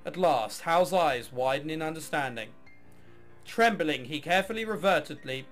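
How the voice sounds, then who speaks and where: A man's voice: fairly high pitch, a bit bright. A man reading a visual novel aloud, mixing dramatic narration with casual, offhand commentary. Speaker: video game let's player.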